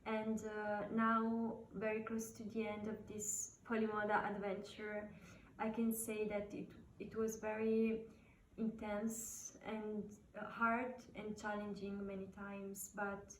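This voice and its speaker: A woman in her twenties from southern Italy with an Italian accent, answering interview questions from home, often pausing on "um" and "uh". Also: medium pitch, monotone. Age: 20s. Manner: hesitant, with many "um" and "uh". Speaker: fashion design student. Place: at home on an island. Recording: echoey room, distant mic, faint noise.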